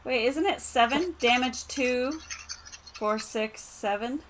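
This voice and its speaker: A woman's voice: a little high, slow pace, a little hiss or hum. A woman playing a tabletop game, chatting casually with the group.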